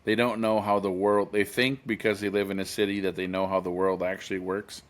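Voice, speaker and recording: A fifty-year-old man talking casually on a podcast, his voice sitting fairly low. He rambles through thoughts with lots of "um" and "you know", restarting sentences, earnest and matter-of-fact throughout. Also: level tone, a little fast. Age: 50s. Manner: rambling, many fillers and restarts. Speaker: podcast co-host. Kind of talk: casual chat.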